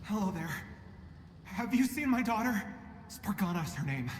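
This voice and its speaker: A man's voice: sits high, very animated, moderate pace, a little hiss or hum. An actor playing an anxious, devoted father in a video game, earnest and fretful as he presses on. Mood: worried. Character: anxious, devoted father searching through danger. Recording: echoey reverberant game audio. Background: prominent music.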